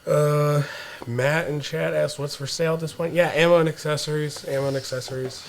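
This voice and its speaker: A man's voice: a little high, fairly even intonation, fairly quick, vocal fry, slight background noise. A man chatting casually on a livestream in an informal, offhand way. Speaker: livestream co-host reading chat. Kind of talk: casual chat.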